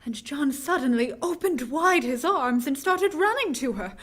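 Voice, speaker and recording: A woman's voice: high-pitched, expressive, a little slow, fairly bright tone. An actress playing an anxious, tender young woman in a vintage radio horror drama, with an earnest, dramatic delivery. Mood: horrified narration.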